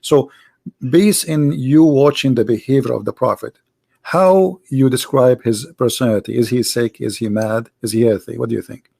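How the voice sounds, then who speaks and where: A man's voice: medium pitch, normal speed, gravelly, a little mellow, dry recording. A man hosting a live debate, pressing his guest with pointed and repeated questions.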